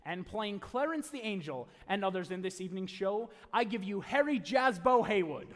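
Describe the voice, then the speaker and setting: Actor as a showy high-pitched radio host in a hall. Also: male voice, expressive, moderate pace, a bit bright, slight background noise. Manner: grand showman delivery. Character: jovial old-time radio show host announcer. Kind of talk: 1940s radio announcing. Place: theater stage before a live audience. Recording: echoey live hall taping.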